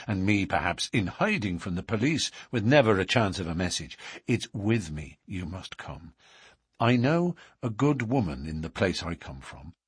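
A man voicing an earnest, urgent young outlaw in a storybook, intense and deliberate, with formal Irish turns of phrase. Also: low voice, fairly bright tone, breathy edge, dry recording, studio quality, heavily acted.

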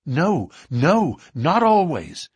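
Man voicing a hushed, troubled older man, husky. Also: lowish voice, very animated, a little slow, no echo, heavily acted. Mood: vehement. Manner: hesitant, guarded, given to anguished outbursts. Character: cautious, bitter older man, troubled lodge brother. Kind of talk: audiobook character dialogue.

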